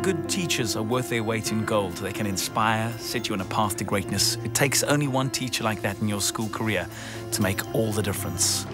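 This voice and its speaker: A man's voice: fairly low pitch, brisk, over loud music. A man hosting a radio show from a studio mic, upbeat as he announces prizes. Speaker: radio host. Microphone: studio microphone with headphones.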